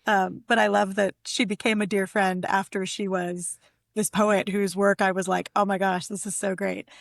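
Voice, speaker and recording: A woman's voice: medium pitch, level tone, normal speed, creaky, no room sound, studio quality. A woman speaking as a guest poet and editor in a literary conversation. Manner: effusive, conversational. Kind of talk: casual literary conversation with poem reading.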